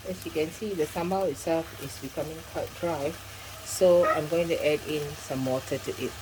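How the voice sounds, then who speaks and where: A woman's voice: low voice, normal speed, background noise. A middle-aged woman hosting a home cooking demonstration, giving chatty step-by-step instructions with frequent asides. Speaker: cooking channel host. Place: home kitchen.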